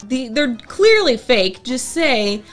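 An American woman in her thirties co-hosting a show, bantering casually in a playful, expressive way. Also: on the high side, slow, faint music behind. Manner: playful, expressive, incredulous asides. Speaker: co-host of a whiskey review show. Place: small indoor home-style bar set.

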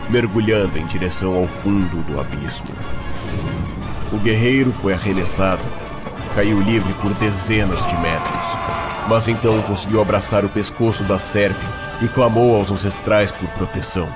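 A deep-voiced Brazilian man narrates dramatic fantasy fiction. He tells the story solemnly and theatrically, with loud music running behind his voice. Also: moderate pace.

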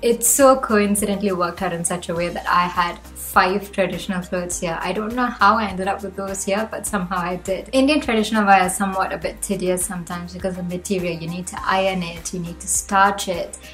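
A young woman from Malaysia, talking to the camera from a room at home. She shares her own reflections in an earnest, chatty way, and her pitch rises now and then. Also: steady tone, brisk, hardly any pauses, a bit bright, soft background music, poor audio. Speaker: vlogger. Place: home room with bookshelves, indoors.

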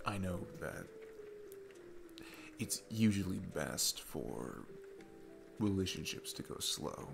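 A man playing a shy, sweet single dad smitten with his babysitter, speaking gently and haltingly in a romantic ASMR roleplay. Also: low-pitched, sing-song, vocal fry, music in the background, exaggerated voice. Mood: earnest, tender.

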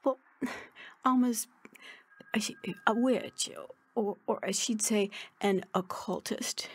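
A woman playing an anxious, earnest relative in a radio drama, speaking in a halting, tentative way. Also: mid-range voice, slightly rough. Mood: worried, tentative. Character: anxious, earnest female relative seeking answers.

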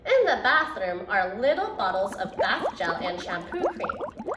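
A woman's voice: medium pitch, wildly swooping pitch, unhurried, roomy sound, music in the background. A young woman who teaches preschool, reading a picture book aloud to children and voicing the quoted lines with expression. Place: indoor room by a brick fireplace.

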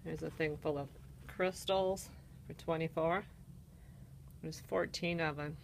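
A woman's voice: low voice, steady tone, a little slow, creaky, slight background noise. A woman with a Canadian accent casually walks through an antique mall, listing items and prices while filming on her phone. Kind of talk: casual walk-through narration. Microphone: handheld phone camera.

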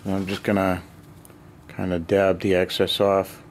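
A man with a deep, low voice talks loosely to himself as he works, trailing off into fillers. The sound comes from a camera near his hands in a cluttered basement room, with a faint hum of room noise behind him. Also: monotone, a little slow. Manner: rambling, thinking aloud with fillers. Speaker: miniature painting hobbyist. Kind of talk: casual hobby chat while painting.

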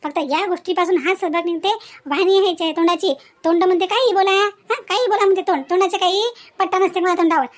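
A woman voicing a moralizing, chatty village aunt in a cartoon story, with a Vidarbha Marathi accent and an emphatic, repetitive way of advising. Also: extremely high pitch. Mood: firm advising. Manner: preachy, emphatic, repeats herself with tag words.

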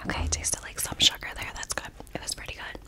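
A woman giving a casual, unhurried food review in a breathy, unvoiced whisper, ASMR style. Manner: casual, unhurried, gentle commentary while eating.

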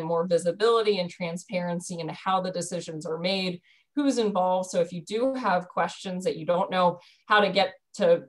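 A woman in her thirties explaining a dairy program on a video call from home, earnest, with frequent ums and restarts. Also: on the low side. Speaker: dairy program staff presenter. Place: bedroom at home. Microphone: video call webcam.

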